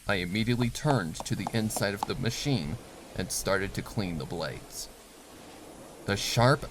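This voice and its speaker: Low-voiced man narrating a dark story. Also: slight background noise, low-quality recording. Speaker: fan fiction narrator. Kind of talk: scripted dramatic story narration.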